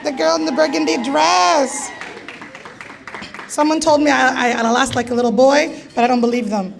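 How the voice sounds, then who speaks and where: A woman emceeing a gala and calling raffle numbers, with a raspy voice and a playful, teasing manner. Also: high voice, fairly even intonation, moderate pace, a faint crowd, slight background noise. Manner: playful, teasing, jokey asides. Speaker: event host calling raffle draws. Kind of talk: live emcee banter at a gala.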